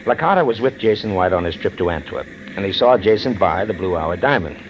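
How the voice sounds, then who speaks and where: A man's voice: low voice, expressive, brisk. An actor playing a cocky, teasing tough-guy sweetheart in a 1940s radio drama on a noisy old recording. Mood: breezy, explaining. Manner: breezy, teasing, slangy.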